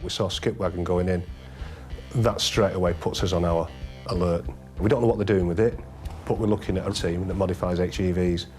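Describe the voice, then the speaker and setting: Male police interviewee, low voice with little inflection, matter-of-fact unscripted recollection. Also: steady tone, a little fast, a little mellow, soft background music.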